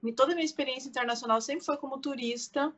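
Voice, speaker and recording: A woman's voice: sits high, racing, a bit bright. A woman in her forties with a southern Brazilian Portuguese accent, chatting informally as an interview guest. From Curitiba, Brazil. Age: 40s. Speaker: interview guest, content creator. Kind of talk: casual chat.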